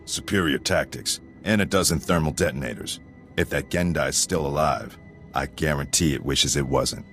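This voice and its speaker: An actor playing a stoic, hardened sergeant in a video game, clipped, formal and matter-of-fact. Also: male voice, rumbling low, normal speed, a bit bright. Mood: grimly confident. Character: stoic, hardened military sergeant, loyal ground soldier. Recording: music behind the voice.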